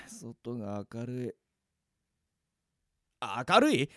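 An actor playing a flustered young office worker in a radio drama, blunt and casual, muttering and exclaiming. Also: male voice, medium pitch, big pitch swings, extremely slow, bright, forceful tone, no echo, clean studio sound, full character voice. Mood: panicked. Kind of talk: Japanese radio drama acting.

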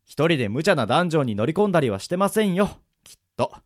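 A man playing an easygoing middle-aged swordsman mentor in a radio drama, moving between polite formality and gruff casual talk. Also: a little high, sing-song, quick pace, no room sound, clean studio sound. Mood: composed, courteous. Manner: switches between smooth polite formality and rough casual drawl. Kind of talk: anime-style radio drama acting.

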